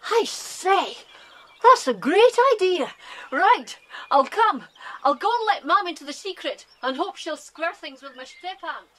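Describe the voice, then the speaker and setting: A woman's voice: very high-pitched, animated, measured pace, fairly bright tone, exaggerated voice. An actress with an English accent playing an eager, friendly farm boy in a children's audio drama. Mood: excited. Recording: hissy 1990s cassette tape.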